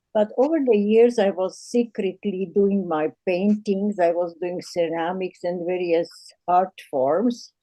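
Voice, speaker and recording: An older woman from Slovakia with a Slovak accent, telling her personal story as an artist in an earnest, measured way. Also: on the low side, mellow, no room sound, rough recording. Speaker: self-taught artist.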